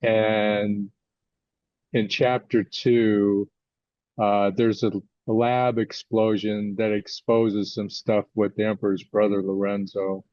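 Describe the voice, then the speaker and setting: An older American man answering interview questions as a guest author, conversational and a little halting as he thinks his answers through. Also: fairly low pitch, flat delivery, warm tone, dry recording. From United States, California. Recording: dull lo-fi audio.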